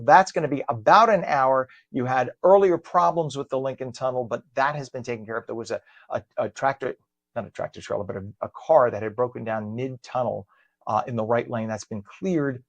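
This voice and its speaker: Chatty New morning show host. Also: male voice, mid-range voice, fairly quick, dry recording, dull audio. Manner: chatty and conversational, frequent fillers. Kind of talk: live talk show and traffic report. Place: home studio.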